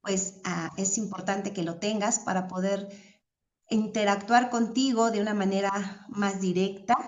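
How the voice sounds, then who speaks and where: A woman's voice: mid-range voice, steady tone, unhurried. A woman teaching an online church class, speaking courteously and devoutly as she invites students to take part. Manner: courteous, devout, encouraging participation. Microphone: video call. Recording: dull, lo-fi video call audio.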